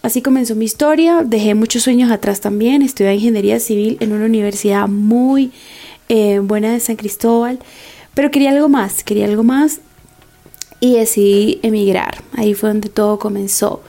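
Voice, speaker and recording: A woman's voice: on the high side, normal speed, dark tone. A woman in her twenties with a Venezuelan Andean accent, telling her personal story on a podcast. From Táchira, Venezuela. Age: 20s. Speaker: podcast guest, makeup artist.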